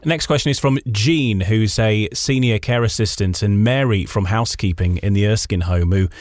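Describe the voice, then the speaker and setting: A man's voice: lowish voice, normal speed, darkish tone, no room sound, clean studio sound. A man hosting a radio interview, reading out questions to his guest.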